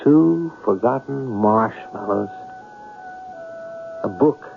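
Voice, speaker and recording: Slow, low-voiced brooding radio storyteller. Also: male voice, expressive, creaky, heavily acted. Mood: brooding, reflective. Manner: deliberate, ruminative, dramatic pauses. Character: brooding male storyteller haunted by a mystery. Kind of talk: 1970s radio drama narration. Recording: muffled vintage radio broadcast with music.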